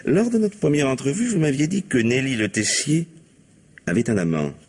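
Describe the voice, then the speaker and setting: An actor playing a patient, probing police commissioner in a French mystery radio play, husky-voiced, polite and dryly questioning. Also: male voice, mid-range voice, very animated, normal speed, darkish tone. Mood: pressing, firm.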